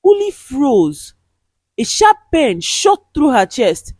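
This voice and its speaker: Slow, animated woman telling a dramatic story. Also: high-pitched, no room sound, clean studio sound. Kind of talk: dramatic scripted story narration.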